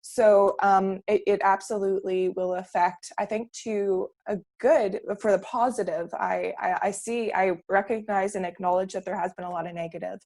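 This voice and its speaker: A woman's voice: medium pitch, fairly even intonation, normal speed, hardly any pauses, a little mellow. A woman from Canada speaking on a panel, earnest and opinionated, often restarting her sentences. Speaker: panelist, political campaigner. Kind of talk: casual discussion.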